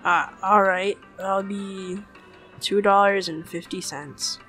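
A woman voicing an apathetic fast-food cashier in a cartoon comic dub, weary and unimpressed. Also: mid-range voice, soft background music. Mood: flat, polite. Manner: flat, hesitant, unimpressed.

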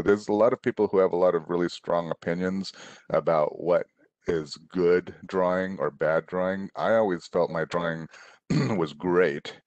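A middle-aged man from Indonesia, an author on a video call, answering children's questions in a relaxed, rambling way with many restarts. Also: low-pitched, dry recording. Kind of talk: casual conversational answers. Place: room at home, on a video call. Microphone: headset.

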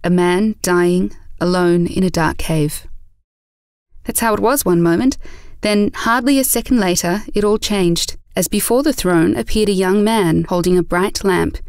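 A woman's voice: fairly low pitch, a little slow, a little mellow, no echo, studio quality. A woman reading scripted story narration for an audio drama.

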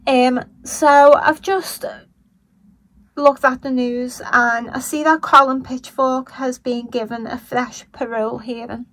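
A woman with a Liverpool accent talks through a news story in a croaky voice. Her voice sits high and bright. She speaks slowly, and her commentary is blunt and indignant, with swearing when she gets angry. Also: steady tone, no echo. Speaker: true-crime commentary vlogger. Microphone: voice-over over still images.

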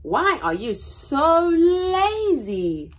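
A young woman voicing nosy, puzzled rainforest animals in a children's storybook, with loud, emphatic questioning. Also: very high voice, sing-song, very slow, pitched above the performer's own voice, heavily acted. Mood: demanding, puzzled. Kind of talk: children's storybook read-aloud.

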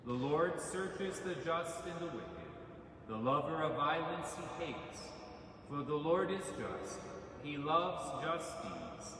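Solemn priest in an echoey church. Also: male voice, fairly high pitch, animated, fairly bright tone, distant mic, a little hiss or hum. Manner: measured, solemn recitation. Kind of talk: liturgical prayers and scripture reading. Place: large church sanctuary. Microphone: stand mic at lectern.

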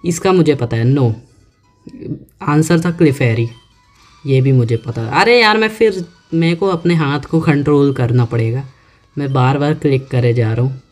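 A man chats through his game, thinking out loud and reading prompts off the screen. His delivery is slow and relaxed at a middle pitch. Faint game music plays beneath him. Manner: chatty, talks to himself, reads on-screen text aloud slowly. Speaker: gaming YouTuber. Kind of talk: casual let's-play commentary.

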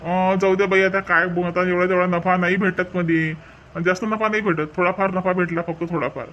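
A man playing a cartoon street peddler, Varhadi accent, high and fast, haggling, muffled lo-fi sound. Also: steady tone, a bit bright, a little hiss or hum. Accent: Varhadi Marathi. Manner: haggling, stubborn. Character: pushy middle-aged male street peddler. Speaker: umbrella seller.

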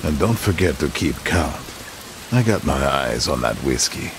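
Huge, very deep cocky gunslinger. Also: male voice, big pitch swings, moderate pace, heavily acted. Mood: amused, scheming. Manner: cocky, laconic, amused. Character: big cocky brawny trapper, slow-talking gunslinger. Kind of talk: dramatic video game cutscene acting. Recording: rain noise behind the voice.

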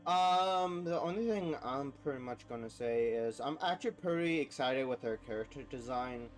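A man's voice: medium pitch, normal speed, soft background music. A young man hosting a gaming reaction video, talking casually in an informal, slangy, enthusiastic way.

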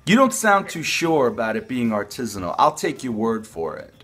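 Young guy doing prank call, kinda raspy, higher voice that swings around, deadpan hipster act. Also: moderate pace. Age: young adult. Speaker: prank caller, video host. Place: small furnished room at home, indoors.

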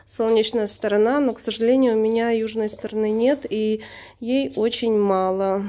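A woman with a fairly high, mellow voice talks casually through a home video. She sounds slightly hoarse, as if under the weather, and pauses mid-sentence as she searches for words. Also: steady tone. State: slightly hoarse from being unwell. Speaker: plant vlogger. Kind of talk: casual unscripted narration of own video. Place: indoors, room in a flat. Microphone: handheld camera or phone, behind the camera.

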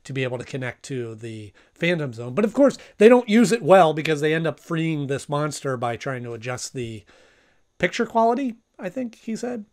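A man's voice: fairly high pitch, very animated, normal speed, darkish tone. A man in his thirties giving a casual review to camera as host of a video. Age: 30s. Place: small home room, indoors.

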